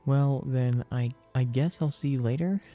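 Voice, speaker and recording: A man's voice: medium pitch, normal speed, mellow, soft background music, pitched above the performer's own voice, close to an everyday voice. A man voicing an earnest, nervous, lovestruck teenage boy in a hesitant, emotional way for an audiobook. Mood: reluctant, nervous. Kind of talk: dramatic audiobook character voice.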